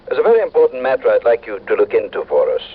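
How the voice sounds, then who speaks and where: An actor playing a formal, polite insurance company official over a telephone, in old radio drama style. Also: male voice, medium pitch, wildly swooping pitch, racing, breathy edge. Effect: telephone filter. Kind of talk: 1950s-60s radio acting. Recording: tinny phone line, old radio.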